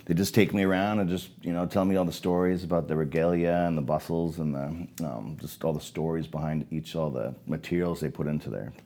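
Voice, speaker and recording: Man in his forties, grew up in Canada, rambling conversational guest, many fillers, dark mellow tone. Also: very deep voice, fairly even intonation, a little fast, few pauses. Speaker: guest photographer. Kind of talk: casual podcast conversation.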